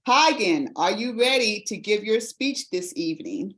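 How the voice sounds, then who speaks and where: A woman's voice: mid-range voice, animated, normal speed, a bit bright. An older woman leading a speaking practice on a video call, with playful, teasing chatter. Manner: playful, teasing, laughs often. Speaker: speaking coach running the meeting. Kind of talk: lively, teasing meeting chat. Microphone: video call webcam.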